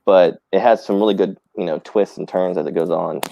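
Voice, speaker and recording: A man's voice: low voice, a little fast, gravelly, mellow, highs cut off. A man chatting casually about films as co-host of a live stream.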